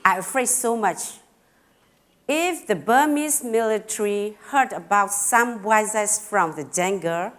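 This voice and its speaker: A middle-aged woman from Burma with a Karen accent, giving a personal talk in simple, halting phrasing with pauses and fillers, a little nervous. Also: mid-range voice, lively intonation, fairly bright tone. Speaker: speaker at a talk.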